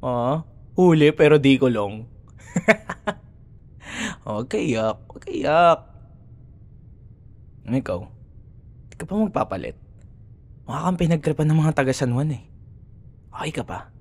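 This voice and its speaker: A man's voice: on the high side, sing-song, unhurried, long pauses, darkish tone, heavily acted. A young man with a Filipino accent acting a cocky, grudging student rival in an ASMR roleplay, teasing and sarcastic in casual banter. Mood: mocking, playful. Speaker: voice actor.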